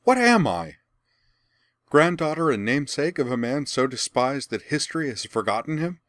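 A man's voice: medium pitch, slow, no echo, studio quality, pitched above the performer's own voice, close to an everyday voice. A man reading a novel aloud, voicing a proud young princess who has lost everything and rants in anguish. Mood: anguished ranting. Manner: ranting, raw and anguished.

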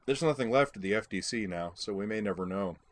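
A man's voice: on the low side, animated, quick pace, close to an everyday voice. A man voicing an affable male squadron officer in a storybook, speaking in a conversational, matter-of-fact way. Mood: explaining.

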